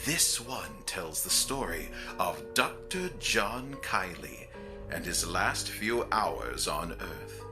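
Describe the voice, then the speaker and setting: An actor playing a sinister, theatrical storyteller who introduces a grim tale in a slow, deliberate way. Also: male voice, deep voice, big pitch swings, music in the background, heavily acted. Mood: ominous relish. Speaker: narrator. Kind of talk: scripted horror-anthology narration.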